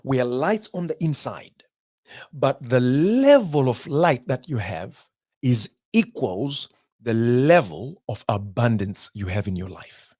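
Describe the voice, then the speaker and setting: A man from Zimbabwe giving a motivational talk in an emphatic way, repeating phrases and pausing at length. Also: mid-range voice, expressive, darkish tone, breathy edge, no echo, studio quality. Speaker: motivational speaker.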